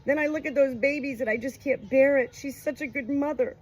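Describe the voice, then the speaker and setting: A woman's voice: high voice, fairly quick, voice pushed up, lightly acted, poor audio. A woman voicing a grief-stricken, loving older father-in-law from a book, pleading and overwrought. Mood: anguished, fearful. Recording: clear background noise.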